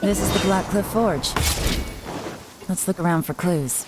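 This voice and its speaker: Woman playing a low-voiced, shrewd game investigator. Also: over loud music, natural voice. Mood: composed. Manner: measured, knowing, analytical. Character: shrewd, composed female investigator. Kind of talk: video game voice acting.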